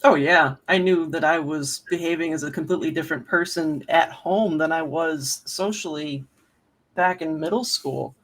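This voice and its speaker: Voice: medium pitch, normal speed. A speaker with a male-sounding voice telling personal stories casually, in an informal and candid way, as a panelist.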